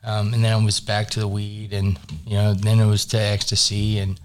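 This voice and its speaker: A man in his thirties who grew up in North Carolina, a guest in recovery talking in a relaxed, halting way with many fillers. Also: lowish voice. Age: 30s. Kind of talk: casual interview. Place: studio.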